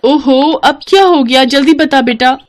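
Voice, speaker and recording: A woman's voice: sits high, measured pace, voice pushed up. A woman voicing an exasperated, scolding young mother in a moral story. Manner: scolding, harried.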